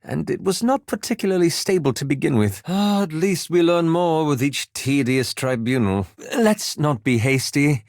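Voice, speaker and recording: Prim, expressive scholarly elf wizard. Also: male voice, on the high side, dry recording, studio quality. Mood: pensive, formal. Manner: fastidious, formal, dryly sardonic. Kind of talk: scripted video game voice lines.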